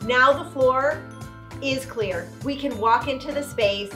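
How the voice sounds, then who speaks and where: This is a woman in her thirties, a home organizing vlogger, sitting on a couch indoors and talking to the camera. Her voice sits a little high, and she explains each step clearly in an upbeat, teaching manner. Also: slow pace, a bit bright, soft background music. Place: living room with couch, indoors.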